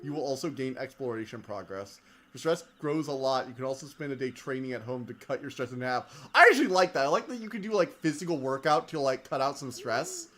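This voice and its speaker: A man streaming a video game, chatting excitably and reading the game text aloud, laughing often, with a slightly whiny voice. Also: mid-range voice, brisk. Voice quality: self-described whiny voice. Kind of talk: casual let's-play commentary, reading game text aloud. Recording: game music behind.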